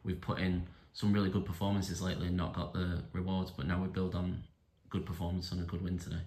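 Low, flat-voiced club interviewer. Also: male voice, fast, reverberant. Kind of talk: interview questions.

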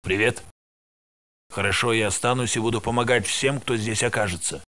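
An actor voicing a tired male security guard in a research facility in a video game dub, with a gravelly, matter-of-fact delivery. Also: lowish voice, moderate pace, bright, forceful tone. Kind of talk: game voice lines, Russian dub.

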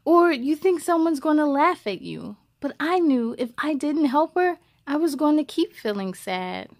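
High-voiced young woman reading storybooks aloud. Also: a little slow, no echo. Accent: American. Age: young adult. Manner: animated, playful delivery. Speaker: host of a children's read-aloud show. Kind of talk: expressive storybook read-aloud with friendly asides to young listeners.

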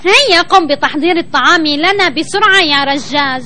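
A bossy cartoon animal, with an extremely high, sharp voice. The orders come out fast. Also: female voice, slight background noise. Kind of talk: children's cartoon dubbing in formal Arabic.

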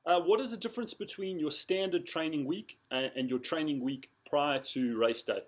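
A man's voice: on the high side, moderate pace. A man in his thirties interviewing an athlete over a video call, asking questions hesitantly with many fillers from an indoor room. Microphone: laptop or phone on a video call.

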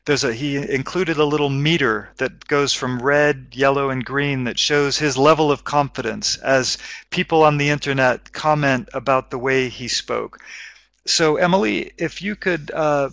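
A middle-aged man leads an English-teaching webinar from a home office. His voice is bright and slightly high, dry and close on a headset mic, and he explains steadily with small restarts. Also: creaky, dry recording. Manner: measured, explaining with frequent restarts. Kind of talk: educational webinar talk.

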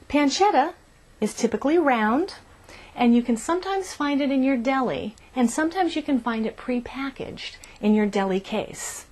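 A woman's voice: on the high side, breathy edge. A middle-aged woman hosting a cooking show, explaining each step in an upbeat scripted way from a small RV kitchen on a lapel mic.

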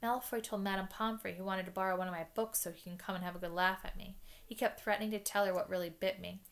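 A woman's voice: mid-range voice, fairly even intonation, lightly acted. A woman reading aloud voices an anxious teenage boy wizard in a storybook. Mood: fretful. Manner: whispering, then fretful and rushed.

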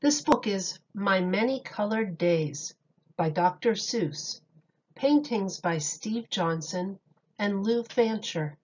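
Slow-paced woman reading to young children. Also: medium pitch, expressive, dull audio. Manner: slow, deliberate, dramatic emphasis on key words. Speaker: teacher reading a picture book aloud. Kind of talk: expressive children's read-aloud.

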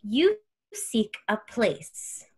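A woman's voice: fairly high pitch, very animated, breathy edge, heavily acted. A woman playing a cryptic alien seer who speaks in solemn, oracular broken phrases. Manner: slow, oracular, broken syntax.

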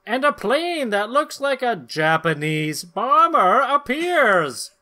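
High-pitched, animated man co-hosting. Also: slow pace. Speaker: podcast co-host. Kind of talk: casual chat and dramatic caption reading. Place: indoor room, video call.

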